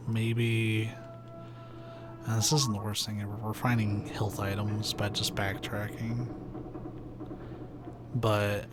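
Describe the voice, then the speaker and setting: A young man with a soft, soothing voice, chatting casually and rambling while he plays a video game on stream. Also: fairly low pitch. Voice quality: soft, soothing, sleepy-sounding voice. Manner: rambling, laid-back, many "like"s and "uh"s. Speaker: let's play streamer. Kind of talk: casual commentary while gaming. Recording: steady background noise hiss.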